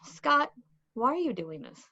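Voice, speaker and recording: A woman's voice: sits high, very animated, a little slow, pushed and bright, exaggerated voice. A woman playing a peppy nineteen-year-old younger sister in a table read, needling and incredulous. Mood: scolding. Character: cute, peppy teenage barista, scolding younger sister. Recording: dull, lo-fi microphone sound.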